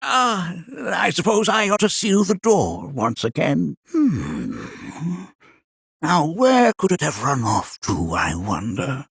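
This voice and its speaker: A man playing a whimsical, absent-minded elderly scholar in a video game, raspy and creaky, speaking in formal, old-fashioned phrases. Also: on the high side, huge pitch swings, slow, a bit bright, no echo, studio quality, exaggerated voice. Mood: curious. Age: older. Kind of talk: video game character acting.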